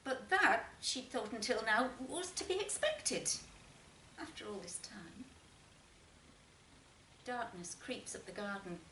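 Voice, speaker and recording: A woman reading literary fiction aloud as an expressive narrator, with deliberate phrasing and dramatic pauses. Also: fairly high pitch. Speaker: short story reader. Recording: roomy, distant mic, faint noise.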